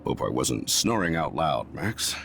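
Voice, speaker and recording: Casting: weary middle-aged principal, extremely deep, gravelly, formal game dialogue over faint vehicle noise. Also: male voice, very animated, unhurried, a bit bright, full character voice. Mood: apologetic. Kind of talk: video game dialogue acting.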